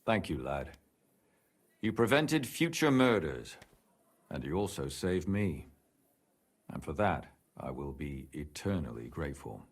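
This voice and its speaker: Deep, husky, earnest medieval man. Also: sing-song, a little slow, long pauses, heavily acted. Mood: remorseful, earnest. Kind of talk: video game voice acting, dramatic dialogue.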